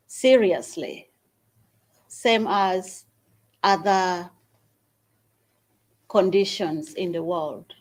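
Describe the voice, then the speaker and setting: A woman from Uganda, the founder of a small charity, gives an earnest speech at an event and makes an appeal with long pauses. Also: medium pitch. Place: event hall at a university.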